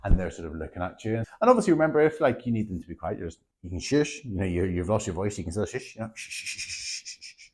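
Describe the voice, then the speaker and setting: A man's voice: on the low side, fast talker. A man, a maths teacher casually and animatedly explaining a classroom method to other teachers, often checking in with "okay?". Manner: chatty, animated, tags lines with "okay?".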